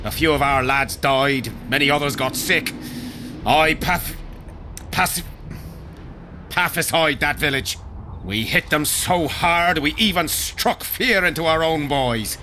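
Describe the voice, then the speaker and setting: An actor playing a proud, rough army veteran in a fantasy video game, speaking in a blunt, rustic soldierly manner. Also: male voice, on the high side, slow pace, pushed and bright, slight background noise. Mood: proud, fond. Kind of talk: video game dialogue acting.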